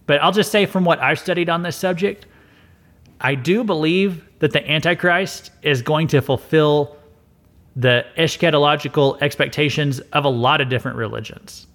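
A man's voice: fairly high pitch, normal speed, a bit bright. A man hosting a Bible study podcast, answering listener mail in a casual, earnest way with frequent fillers and restarts. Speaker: podcast host. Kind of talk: casual mailbag commentary.

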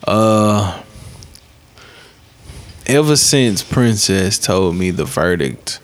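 A man in his late twenties hosting a podcast, talking in a relaxed, profane, joking way. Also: low voice, extremely slow. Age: late 20s. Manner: laid-back, profane, joking. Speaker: podcast host. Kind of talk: casual chat.

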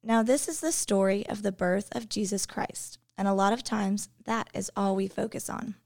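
A woman's voice: mid-range voice, moderate pace, vocal fry, darkish tone, dry recording, studio quality. A woman who is an English teacher, giving an earnest personal devotional reflection.

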